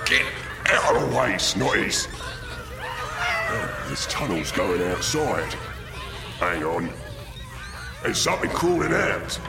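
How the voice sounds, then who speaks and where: A man playing a small put-upon orc underling in an audio drama, whiny and complaining, with an English accent and a creaky voice. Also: mid-range voice, huge pitch swings, slow pace, pauses often, noisy background, full character voice. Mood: grumbling.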